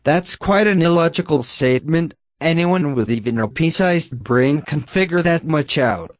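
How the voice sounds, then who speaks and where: A man's voice: fairly high pitch, animated, moderate pace, no echo, studio quality. A man, a native Finnish speaker, giving blunt, sarcastic reaction commentary on a video and swearing now and then.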